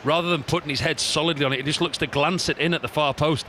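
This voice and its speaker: Guy doing co-commentary, talks fast, picks apart the misses, loud noise behind. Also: fairly high pitch, fairly even intonation. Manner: analytical, critical of poor play. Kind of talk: football match analysis. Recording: noisy background.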